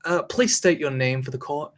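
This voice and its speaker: A man playing a polite defense attorney, formal and measured, at a screenplay table read. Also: on the high side, close to an everyday voice.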